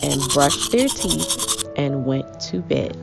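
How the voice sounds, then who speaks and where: A woman reading aloud to young children as host, with an expressive, measured storybook delivery. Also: low voice, vocal fry. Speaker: children's book reader, host. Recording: muffled audio with prominent music.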